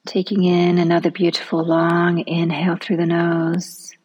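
A woman leading a guided relaxation meditation in a soft, soothing manner, often trailing off into a near-whisper. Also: fairly low pitch, monotone, measured pace, husky.

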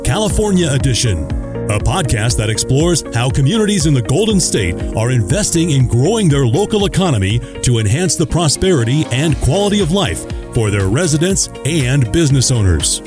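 Lively male announcer over music. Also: medium pitch, fairly quick. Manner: polished, upbeat announcing. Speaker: show announcer. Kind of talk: scripted podcast intro. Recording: clear music bed underneath.

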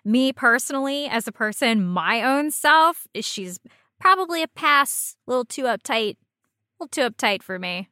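A woman's voice: on the high side, animated, normal speed, sharp tone, no room sound, studio quality. A woman co-hosting a casual podcast about video game characters, with playful, wry and teasing banter. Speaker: podcast co-host.

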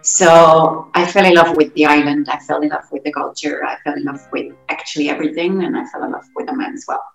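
A woman from the Netherlands, a guest telling her life story in an animated, self-correcting way. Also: deep voice, a little fast, creaky. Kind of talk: casual interview storytelling. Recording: roomy echo, faint music.

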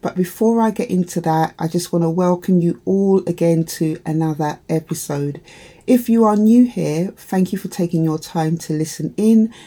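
Croaky, dark-voiced woman podcast host. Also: on the low side, normal speed. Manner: encouraging, chatty, many "you know" fillers. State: croaky from hay fever. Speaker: podcast host and empowerment coach. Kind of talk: solo podcast talk to listeners.

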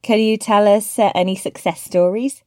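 A woman hosts a casual video-call interview, talking in a loose, chatty way full of fillers and restarts. Her voice sits at an average pitch for a woman. The sound is dry and close, with no room echo. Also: steady tone, moderate pace, dark tone. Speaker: interviewer and teaching team lead.

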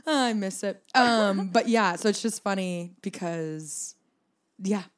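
A young woman with a slightly raspy low tone, co-hosting a podcast in a rambling, joking chat. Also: slow. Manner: rambling, laughing, swears casually. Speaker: podcast co-host. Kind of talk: casual chat.

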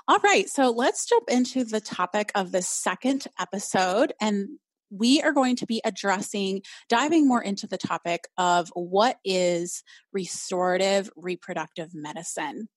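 A woman's voice: mid-range voice, expressive, measured pace, no echo. A young woman hosting a casual solo podcast, chatty and upbeat, with a slightly nasal voice and frequent "um"s. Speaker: podcast host.

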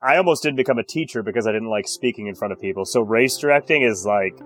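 A man's voice: fairly low pitch, quick pace. A man who is a race director, talking as a podcast guest and making fun of himself. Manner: self-deprecating. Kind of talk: casual interview chat.

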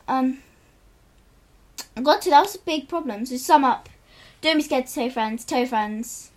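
Teen girl co-host, upbeat, chatty fillers, higher pitch. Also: animated, normal speed. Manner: encouraging, upbeat, filler-heavy. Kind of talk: casual chat.

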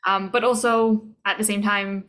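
A young woman in her twenties speaks with a Canadian accent. She sounds like an interview guest thinking out loud, casual and reflective, at an ordinary middle pitch. Her phrasing is a little halting as she searches for words. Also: level tone. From Toronto, Canada. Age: 20s. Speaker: voice actor being interviewed.

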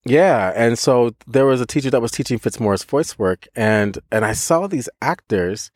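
A man co-hosting a podcast, telling a story in a lively, casual way, with frequent laughs, restarts and casual swearing. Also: medium pitch, moderate pace, no room sound, studio quality. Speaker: podcast co-host, voice teacher.

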